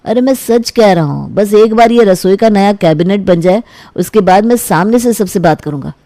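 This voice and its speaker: A woman's voice: mid-range voice, expressive, moderate pace, dark tone, dry recording, studio quality, lightly acted. A woman voicing an earnest young man eager to marry, coaxing and reassuring in a storybook tale. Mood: pleading, worried. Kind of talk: animated storybook dialogue.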